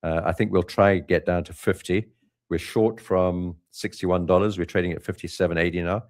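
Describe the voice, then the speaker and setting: Low-voiced man hosting a live trading stream. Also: brisk. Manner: relaxed, rambling with frequent fillers and restarts. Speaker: trading channel host. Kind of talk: live market update with co-host.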